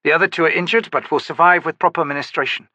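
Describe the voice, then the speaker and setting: A dutiful soldier officer, as a male narrator puts him on gives his report in a raised, brisk, respectful voice. The delivery is orderly and clear, with a dry, close sound. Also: a little high, sharp tone, no room sound, lo-fi sound, pitched above the performer's own voice.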